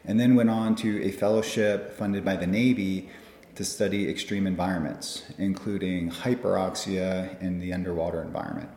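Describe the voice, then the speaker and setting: A man from the United States, answering interview questions about his research in a fluent, measured way. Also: sits low, steady tone, mellow. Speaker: guest scientist. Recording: echoey conference room.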